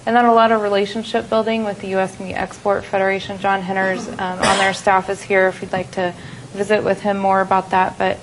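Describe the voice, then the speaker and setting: Flat-toned American woman presenting trade data. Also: medium pitch, brisk, noisy background. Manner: businesslike, many "um" fillers. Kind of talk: conference presentation. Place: conference hall at a trade expo.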